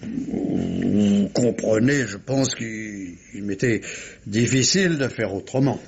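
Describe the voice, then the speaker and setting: A muffled old radio crime drama recording presents a middle-aged gentleman with a husky voice. He speaks formally and precisely, with refined diction and the guarded manner of someone shielding his family. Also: fairly low pitch, animated, takes it slowly, exaggerated voice. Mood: guarded, sorrowful. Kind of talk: mid-century French radio crime drama acting.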